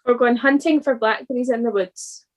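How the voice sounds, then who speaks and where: A woman reading a scripted church devotional in an earnest, deliberate manner. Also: on the high side, low-quality recording.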